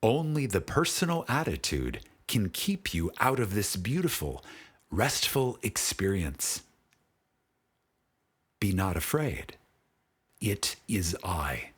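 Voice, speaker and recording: Slow, expressive male spiritual audiobook narrator. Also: lowish voice, breathy edge. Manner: solemn, deliberate, with dramatic pauses.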